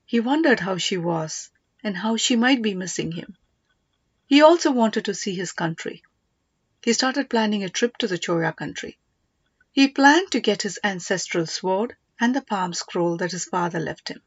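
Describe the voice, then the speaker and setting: A woman's voice: mid-range voice, expressive, moderate pace, slightly rough, dry recording. Woman narrating a story, dry dull recording. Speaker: audiobook narrator.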